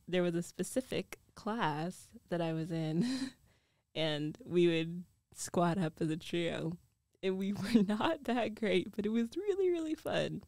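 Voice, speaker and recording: An older, dominant girlfriend acted by a woman in an intimate ASMR roleplay. She speaks slowly in a soft, dark voice with gravelly fry at the edges, playful and teasing, often sinking toward a whisper. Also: on the low side, no echo, studio quality. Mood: casual, amused. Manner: teasing, giggly, slow, often dropping to a whisper.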